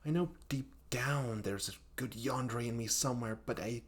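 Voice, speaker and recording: A man's voice: medium pitch, moderate pace, close to an everyday voice. A man playing a hapless young male best friend in a comedic audio roleplay, rambling and stammering in a flustered, self-deprecating way. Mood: sheepish, embarrassed. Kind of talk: comedic audio roleplay monologue addressed to the listener.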